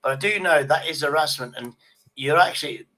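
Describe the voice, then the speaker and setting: A man's voice: a little high, fairly quick, pushed and bright, rough recording. A man in his sixties chatting casually on a livestream, emphatic and often repeating his phrases. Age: 60s.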